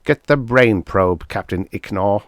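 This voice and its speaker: A man's voice: on the low side, a little slow, no room sound, clean studio sound, close to an everyday voice. A man voicing an evil, irritable toadlike alien commander who barks orders and sneers in a pulp science fiction audiobook. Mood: angry, menacing.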